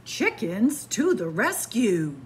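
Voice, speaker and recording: A middle-aged woman reading a picture book aloud to children in a library, playful and animated. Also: mid-range voice, takes it slowly, slight background noise. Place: library, in front of bookshelves. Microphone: camera at close range.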